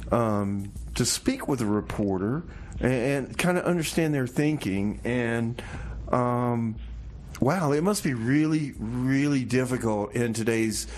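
A man with a medium-pitched voice hosts a casual radio interview. He is chatty and halting, repeating words while he works toward his questions. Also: expressive, a little mellow, a little hiss or hum. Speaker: radio show host, interviewer.

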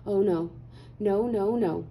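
A woman's voice: mid-range voice, slow, highs cut off, a little hiss or hum. Woman playing an anxious, awkward little storybook bean. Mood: dismayed. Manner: hesitant, nervous, exclaiming. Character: anxious, awkward, self-doubting cartoon bean telling his story.

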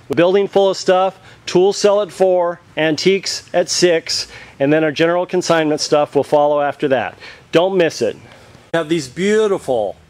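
Male auctioneer, slightly raised pitch, reels off item lists on a camera mic in a warehouse. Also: darkish tone. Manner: rattles off long lists of items. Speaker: auctioneer promoting a sale. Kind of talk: walk-through sales promo.

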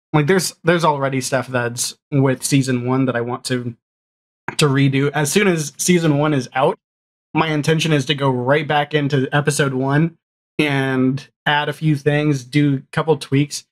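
A man's voice: a little high, steady tone, measured pace. A man in his forties chatting casually as a podcast guest on a video call, jokey and self-deprecating. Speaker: guest, audio drama creator and podcaster. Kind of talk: casual chat. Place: small room indoors, video call.

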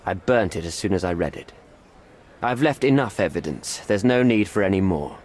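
An actor playing a middle-aged envoy in a video game, formal, evasive and smooth-talking, with a placating, pleading manner. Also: male voice, low-pitched, expressive, moderate pace, darkish tone. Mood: evasive, regretful. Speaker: British envoy. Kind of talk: video game cutscene acting.

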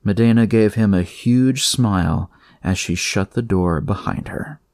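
Guy reading horror fiction, creaky voice, measured and slow with dramatic pauses. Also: on the low side, a little mellow, no room sound, studio quality. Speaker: narrator. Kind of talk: scripted horror fiction narration.

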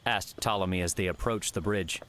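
A man's voice: deep voice, brisk, fairly bright tone. A man narrating an adventure novel as a scripted audiobook reading. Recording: faint background noise and ambience.

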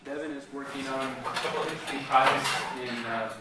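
Halting man in a very echoey room. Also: medium pitch, steady tone. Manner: halting, stumbling over words. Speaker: seminar host introducing a speaker. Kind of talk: informal spoken introduction at an academic seminar. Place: university seminar room. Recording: echoey room, far from mic, loud noise.

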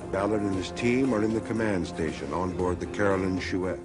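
A man's voice: low voice, fairly quick, loud music behind the voice. A man reading scripted narration for a documentary.

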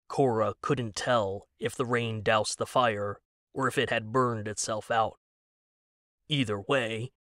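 A man narrating a scripted story as a dramatic audiobook, with deliberate, suspenseful delivery. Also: mid-range voice, a bit bright, dry recording, clean studio sound.

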